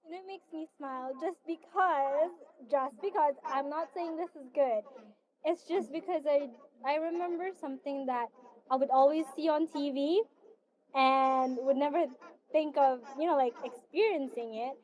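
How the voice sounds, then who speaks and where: A woman's voice: sits high, normal speed, darkish tone. A woman from Italy whose first language is Italian, speaking English with an Italian accent and telling a story in chatty, laughing radio talk. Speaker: radio chat show co-host. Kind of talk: casual chat. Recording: muffled audio with crowd noise.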